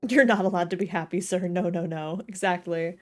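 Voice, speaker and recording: A woman's voice: lowish voice, fairly even intonation, brisk. A woman livestreaming a game with casual, slangy, self-deprecating commentary and jokes. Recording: game music playing behind voice.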